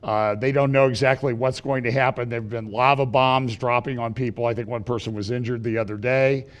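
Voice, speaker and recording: A middle-aged man, slightly raspy from feeling unwell, giving a rambling prophecy update at church with many fillers and restarts. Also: mid-range voice, steady tone, fairly quick, highs cut off. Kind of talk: casual prophecy update talk.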